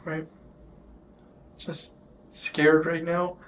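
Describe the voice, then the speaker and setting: Man, flat and halting, shaken, getting over illness, police interview, noisy bodycam audio. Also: on the high side. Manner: shaken, halting, repeats himself. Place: police questioning, recorded on bodycam.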